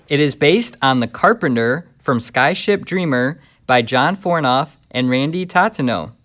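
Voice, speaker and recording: Man narrating, slightly high voice. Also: fairly bright tone. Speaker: puppet show narrator. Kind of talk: scripted children's story narration. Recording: faint background noise.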